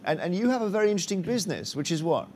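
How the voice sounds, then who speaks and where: A man's voice: high-pitched, sing-song, racing, a little mellow, a little hiss or hum. A British man hosting a TV quiz, moving between scripted links and banter with contestants. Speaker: quiz show host.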